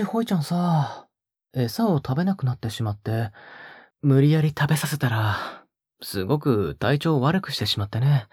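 Male actor for a possessive, doting young man in audio drama: very breathy, close and dry, swooping intonation. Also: medium pitch, dry recording. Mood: pleading, remorseful. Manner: soft, coaxing, often dropping to a breathy whisper. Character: possessive, sickly-sweet young male captor doting on a pet-like girl. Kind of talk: intimate audio drama acting, spoken to the listener.

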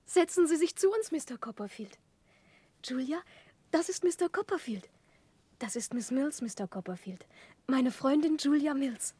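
A woman's voice: high voice, lively intonation, moderate pace, mellow, no room sound. An actress playing a sweet, pampered young lady with a coy, childlike manner in a 1960s German radio drama. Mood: sweet, delighted.

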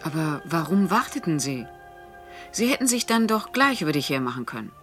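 A shrewd woman talks with a detective in a radio crime drama. Her voice sits low, and she is wry, teasing and direct in the way she probes. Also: sing-song, a little fast, fairly bright tone, soft background music, full character voice. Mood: puzzled, probing. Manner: probing, wry, frank.

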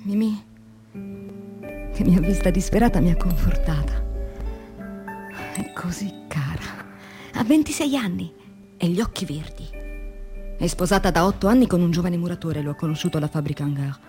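An actress playing an anguished, exhausted factory worker confiding her diary in a dramatic radio monologue that shifts between hushed and vehement delivery. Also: lowish voice, animated, normal speed, long pauses, soft background music, lightly acted. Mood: tender, sad.

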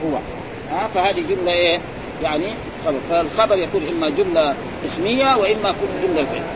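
A man with a lilt teaches grammar at a fast clip, his voice sitting high. He keeps firing little prompts at his students. The sound is muffled, with chatter and traffic noise around him. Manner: quizzing, prompting with repeated "eh?" and "ha?". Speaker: sheikh teaching Arabic grammar. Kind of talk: classroom explanation with questions to students.

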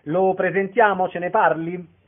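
A man's voice: high voice, steady tone, unhurried, a little hiss or hum. A man presenting a guest on radio and asking questions. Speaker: radio interviewer. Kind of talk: radio interview introduction. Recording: narrow phone-like sound.

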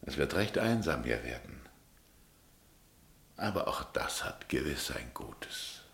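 Old governor: creaky, expressive, melancholy authority with formal kindness, radio drama in a reverberant room. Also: male voice, rumbling low, heavily acted. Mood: wistful, courteous. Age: older. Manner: formal, stately, deliberate. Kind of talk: German radio play acting.

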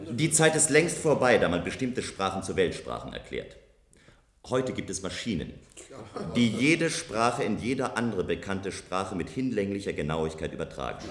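Low-voiced, self-assured visionary engineer. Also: male voice. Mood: confident, persuasive. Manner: measured, declarative, persuasive. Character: self-assured visionary male engineer proposing a bold tower. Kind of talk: German radio play acting, science fiction. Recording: roomy, echoing studio radio play sound.

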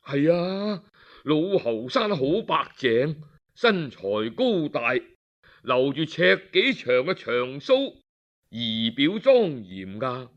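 A man with a Cantonese accent voicing a cautious, deferential chief minister in a story, speaking in a careful, respectful low voice. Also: very animated, takes it slowly, dull audio, full character voice.

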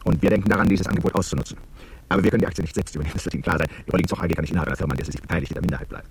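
A fast, gravelly corporate schemer. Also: male voice, low-pitched, slight background noise. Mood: businesslike, persuasive. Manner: brisk, patronising, persuasive. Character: smooth, scheming male corporate negotiator, condescending and businesslike. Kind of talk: radio drama dialogue.

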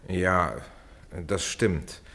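A middle-aged family doctor in a radio drama. His voice is deep, and he speaks in a composed, courteous, measured way. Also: gravelly, fairly bright tone, full character voice. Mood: guarded. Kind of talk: German radio play acting.

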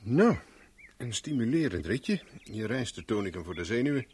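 An actor playing a flippant, flirtatious private investigator in a radio drama, with wry, teasing banter. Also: male voice, mid-range voice, sing-song, moderate pace, noisy background, close to an everyday voice. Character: flippant, flirtatious male private investigator, world-weary charmer.

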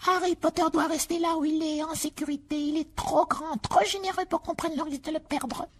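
A woman voicing a timid, tearful male house-elf who pleads in a squeaky, breathy voice and talks about himself in the third person. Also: fairly even intonation, a little fast, natural voice. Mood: urgent. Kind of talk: audiobook character voice.

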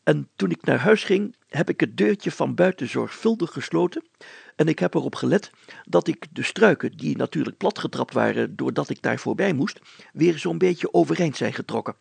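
A man voicing an excitable, secretive village mayor in an audiobook, telling things emphatically and deliberately in a creaky voice. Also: a little high, dry recording, exaggerated voice. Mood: emphatic, secretive. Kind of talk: acted audiobook dialogue.

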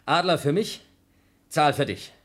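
Trapped schemer, persuasive, lively pitch, radio play. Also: male voice, measured pace. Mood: scheming. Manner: persuasive, then frantic shouting. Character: scheming man trapped in a station, called Blob.

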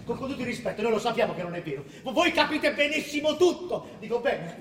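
A man acting in an Italian radio drama as a servile, frightened underling who flatters his masters with a hesitant stammer. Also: extremely high pitch, fast, exaggerated voice. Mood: fawning, nervous. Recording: echoey hall, far from the mic.

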